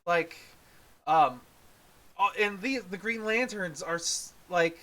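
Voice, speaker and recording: A podcast co-host in a casual review chat, haltingly rephrasing thoughts while talking. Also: medium pitch, fairly bright tone.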